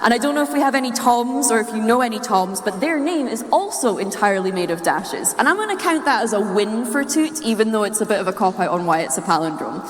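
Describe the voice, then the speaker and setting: A woman's voice: fairly high pitch, lively intonation, fast, hardly any pauses. A woman from Scotland with a Scottish accent gives a playful, humorous, nerdy talk to a live audience. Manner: playful, chuckles at own wordplay. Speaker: speaker giving a talk. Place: large indoor room or hall, live talk. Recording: echoey hall with long reverb.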